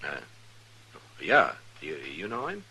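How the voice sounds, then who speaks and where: A frontier marshal in an old radio western, easygoing yet watchful. His voice sits low and has a very sharp, forceful edge. He talks in a halting, understated way. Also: huge pitch swings, slightly rough, exaggerated voice. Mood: easygoing, amused. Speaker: lead actor and narrator. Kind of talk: 1950s radio western acting.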